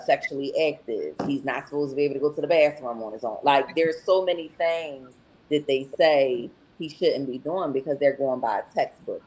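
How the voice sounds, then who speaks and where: A woman with a husky, breathy voice gives an earnest testimonial on a podcast. She explains patiently and often checks in with a "right?" while collecting her thoughts. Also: rumbling low, dark tone, low-quality recording. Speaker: podcast guest, patient's wife.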